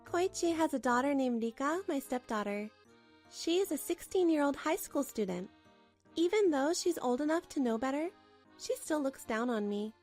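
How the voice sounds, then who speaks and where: High-voiced, weary young housewife, acted by a woman. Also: lively intonation, normal speed, darkish tone, soft background music. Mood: resigned narration. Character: weary, put-upon housewife narrating her own troubles. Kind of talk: first-person scripted story narration, manga dub.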